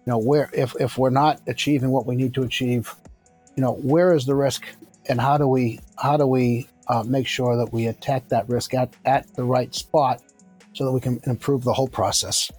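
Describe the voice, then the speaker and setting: Sixties male founder, mid-pitched, reflective and halting, with faint music underneath. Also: steady tone, darkish tone. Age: 60s. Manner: measured, thoughtful, many fillers and restarts. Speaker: construction company founder. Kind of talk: conversational interview answers.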